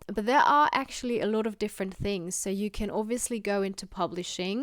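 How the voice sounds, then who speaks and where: Chatty young woman from Austria, smooth voice. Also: mid-range voice, no echo, clean studio sound. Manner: chatty, frequent "like" fillers, earnest. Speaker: literature student vlogger. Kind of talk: casual explanatory vlog.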